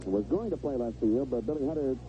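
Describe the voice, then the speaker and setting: A man's voice: mid-range voice, animated, quick pace, mellow. A man calling baseball play-by-play live on the radio in clipped phrases, describing each play as it happens. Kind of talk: live radio sports commentary. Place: stadium radio booth. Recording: noisy dull 1970s AM radio broadcast.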